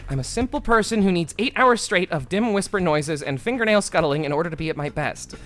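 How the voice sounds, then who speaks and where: A man's voice: sits high, animated, a little fast, a bit bright, a little hiss or hum. A man reading as a sleepless, brooding young woman. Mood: melodramatic. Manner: melodramatic, menacing read of game text. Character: sleep-deprived young woman turned would-be killer.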